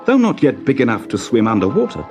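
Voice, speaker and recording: A man narrating a children's story in a measured, dramatic, expressive manner. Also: mid-range voice, over music.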